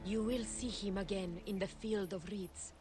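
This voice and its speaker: An actress playing a compassionate, resolute Egyptian healer in a video game, speaking earnestly and formally. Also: female voice, mid-range voice, fairly even intonation, moderate pace, music in the background, lightly acted. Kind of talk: dramatic video game acting.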